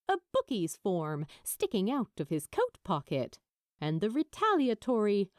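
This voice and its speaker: A woman narrating fiction from a script in a measured, expressive storytelling manner. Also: mid-range voice, no echo, studio quality. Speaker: amateur audiobook narrator.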